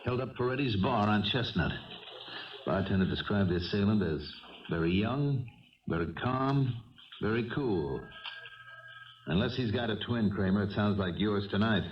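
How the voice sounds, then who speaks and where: An American man playing a calm, wry, methodical police lieutenant who also narrates a 1970s radio crime drama in a measured, authoritative way. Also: fairly low pitch, slight background noise, close to an everyday voice. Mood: musing. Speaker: narrator and lead character. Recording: dull old radio broadcast, slightly distant.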